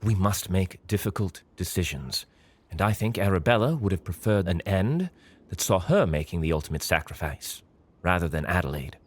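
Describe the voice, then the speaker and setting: An actor playing a coolly pragmatic government man in an audio drama, measured and formal. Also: low voice, animated. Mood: cool, matter-of-fact.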